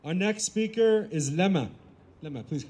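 A man's voice: high-pitched, lively intonation, moderate pace, slight background noise. A man emceeing an outdoor rally, casually announcing the next speakers. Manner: casual, brief introductions. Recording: distant voice over loud crowd.

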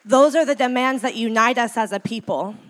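A woman's voice: on the high side, fairly bright tone. Emphatic woman organizer giving a speech. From San Francisco Bay Area, United States. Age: 30s. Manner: measured, emphatic delivery with deliberate pauses. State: in mourning. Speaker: community organizer. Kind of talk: impassioned speech.

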